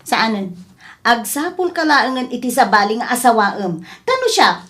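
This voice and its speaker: A woman playing an indignant, hurt but firm wife and mother in a radio comedy drama, sharp-tongued, with an Ilocano accent. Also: a little high, very animated, measured pace. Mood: firm, dismissive.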